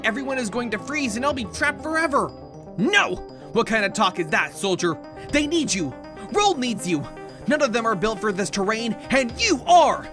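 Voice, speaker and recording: A woman voicing a small, excitable boy ice robot in a cartoonish comic dub, melodramatic and exclamatory. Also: on the high side.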